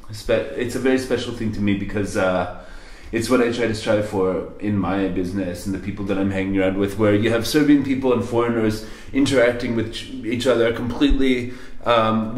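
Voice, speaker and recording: A man's voice: lowish voice, reverberant, poor audio. A man interviewing a business owner in casual conversation, rambling and hesitant, with many fillers and restarts.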